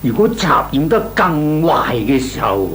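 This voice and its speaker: An actor playing a kindly, deliberate older gentleman with a Cantonese accent in old Hong Kong radio drama style. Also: a little high, big pitch swings, poor audio. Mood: measured, advising. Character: kindly, measured old gentleman, advice-giving elder. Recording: old radio recording, faint noise.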